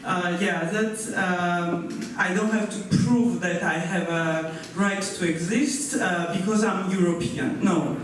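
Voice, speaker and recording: A man with a high voice speaks slowly on a conference panel, stopping often to search for words. His voice comes from a distant mic in a very echoey hall, with faint noise underneath. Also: fairly even intonation, a bit bright. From Georgia. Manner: hesitant, many pauses and filler sounds. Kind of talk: panel discussion talk.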